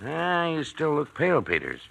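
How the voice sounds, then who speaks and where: A man's voice: fairly high pitch, wildly swooping pitch, normal speed, vocal fry, fairly bright tone, heavily acted. An actor playing a hearty, overbearing factory foreman who chuckles and talks over people, in 1940s radio drama style. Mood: jovial, needling. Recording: 1940s radio broadcast recording.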